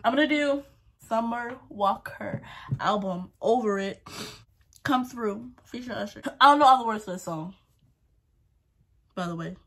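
A young woman in her twenties sounds congested and a little sick, her voice high but unhurried. She chats casually between songs, recorded through an earbud mic in her bedroom. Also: lively intonation, rough recording. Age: 20s. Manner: chatty, sings loudly off-key while wearing headphones. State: congested, sore throat. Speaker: YouTuber. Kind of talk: casual vlog, singing along to songs. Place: bedroom, indoors. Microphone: earbuds with inline mic, phone camera at arm's length.

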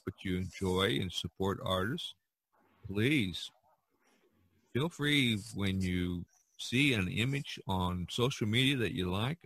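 An older man hosting a podcast, chatting casually in a halting, folksy way. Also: on the low side, fairly bright tone. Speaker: podcast host. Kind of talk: casual chat and podcast sign-off.

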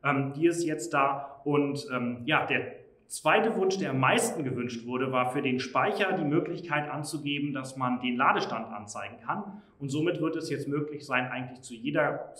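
A man's voice: mid-range voice, normal speed, fairly bright tone. A young man giving a keynote product presentation on stage, explaining features casually with frequent filler sounds. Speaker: company presenter. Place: conference room on stage. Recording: echoey room.

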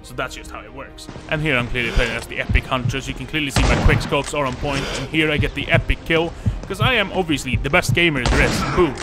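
A man's voice: medium pitch, quick pace, over loud music. A young man, a gaming YouTuber, making a jokey, mock-boastful sponsor plug that rambles on. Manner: jokey, self-aggrandizing, rambling.

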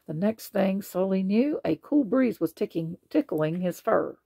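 A woman reads a children's storybook aloud with expression. Her voice is husky and gravelly, and its pitch moves around in a lively way. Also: a little slow, dry recording. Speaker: storybook reader.

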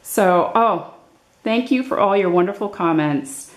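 An older woman giving a casual, unscripted show-and-tell as a craft vlogger, halting and thinking aloud as she goes. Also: mid-range voice, animated. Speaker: craft vlogger showing her handmade journals. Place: indoors at a table, hands-only overhead camera.

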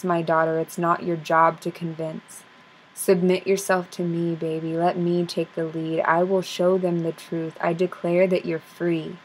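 A young woman recites spoken word poetry in a soft, intimate, rhythmic rhyming delivery, recorded on a close webcam in a small dim room. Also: on the low side, husky, poor audio. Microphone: webcam at close range.

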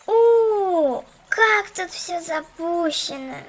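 A cheeky little cartoon doll, extremely high and animated. She sounds skeptical and sarcastic. There is faint noise behind the voice. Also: very slow, full character voice. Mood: wry, surprised. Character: sassy, skeptical little doll girl, slangy and wry. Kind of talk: children's cartoon dialogue.

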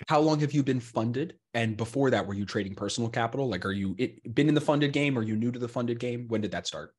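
A man's voice: mid-range voice, fast talker, darkish tone. A man in his thirties hosting a casual podcast interview, upbeat and chatty, calling his guest bro, on a close studio mic at home. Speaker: podcast host. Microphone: close red studio mic, over-ear headphones.

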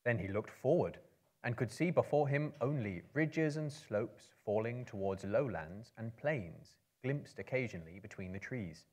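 A man in his thirties giving a measured, expressive live dramatic reading as a storyteller. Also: mid-range voice. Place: indoor book-lined venue before a small live audience.

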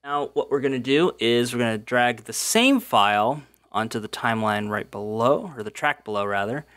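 A man's voice: medium pitch, expressive, normal speed, a bit bright, dry recording. Dry-sounding man hosting a casual tutorial. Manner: informal, step-by-step explaining. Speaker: tutorial host. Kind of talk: casual instructional voice-over.

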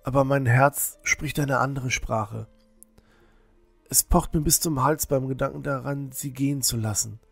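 A man as a slow, hesitant village youth. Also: mid-range voice, creaky, breathy edge, no room sound, faint music behind. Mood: anxious, heartfelt. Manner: hesitant, stammering, earnest. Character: earnest, shy teenage village boy, lovesick and unsure. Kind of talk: amateur fantasy audio drama acting.

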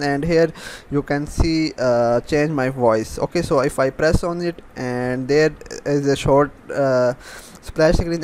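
A man with a slightly high voice narrates a software tutorial off the cuff. He explains step by step in a halting way, repeating himself. There is faint noise behind his voice. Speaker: programming tutorial host.

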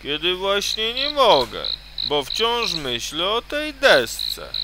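A man voicing a grumpy, tired old grandpa from a storybook, grumbling and groaning slowly. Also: high voice, wildly swooping pitch, very noisy, voice pushed up, exaggerated voice.

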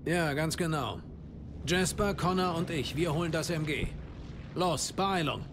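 A man's voice: on the high side, unhurried, background noise. A man playing an earnest, plain-spoken young army sergeant who commands his squad in a war game. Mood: brisk, commanding. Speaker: squad leader. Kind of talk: dubbed war game dialogue.

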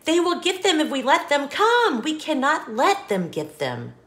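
Animated, high-voiced woman reading a storybook. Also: unhurried. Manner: expressive, exaggerated emphasis with gasps and quieter asides to the child listener. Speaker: children's storybook reader. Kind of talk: animated picture book read-aloud for young children.

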